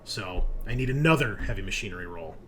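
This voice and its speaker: A man's voice: on the low side, lively intonation, fast, fairly bright tone. A man narrating a tabletop roleplaying game as game master, improvising informally and addressing the players as "you".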